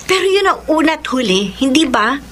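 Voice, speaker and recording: A woman's voice: high voice, big pitch swings, a little fast, a bit bright, a little hiss or hum. A woman playing an anxious, defensive young mother in a Filipino radio soap, dramatic and prone to stammering, with a Filipino accent. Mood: worried, pleading. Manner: dramatic, stammering when startled.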